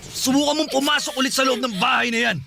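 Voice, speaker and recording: A man's voice: extremely high pitch, fairly even intonation, fast talker, gravelly, background noise, full character voice. A man playing a protective, frustrated young man secretly in love, earnest and insistent, in a Tagalog radio drama. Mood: stern, protective. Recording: music bed behind the dialogue.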